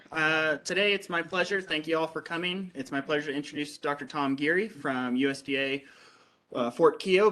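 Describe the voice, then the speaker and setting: A man's voice: fairly high pitch, a bit bright. A man who opens a seminar and introduces the guest speaker briefly and politely, with a few fillers. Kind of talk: formal introduction. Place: lecture room.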